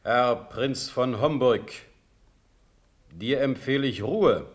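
Slow, dignified fatherly ruler. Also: mid-range voice. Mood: stately, admonishing. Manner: measured, authoritative, paternal. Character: dignified fatherly ruler, an authoritative prince elector. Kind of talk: classic German radio play stage acting.

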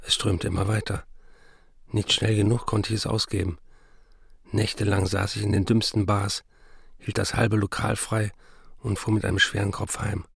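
An actor playing a troubled, self-indulgent writer in a radio play, confessing his excesses in a matter-of-fact, gravelly way. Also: lowish voice, level tone, normal speed, long pauses, no echo, clean studio sound, heavily acted. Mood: rueful. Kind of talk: radio play narration and letter reading.

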